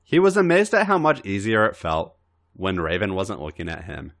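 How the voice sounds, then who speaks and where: A man's voice: deep voice, expressive, moderate pace, gravelly, fairly bright tone. A man narrating a story as a podcast host, reading it out dramatically.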